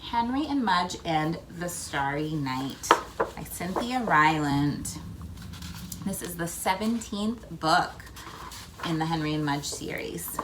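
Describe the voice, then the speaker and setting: A woman reading a picture book aloud to children, with expressive emphasis and chatty asides addressed to the listeners. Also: on the low side, slow pace, background noise. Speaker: read-aloud host for children.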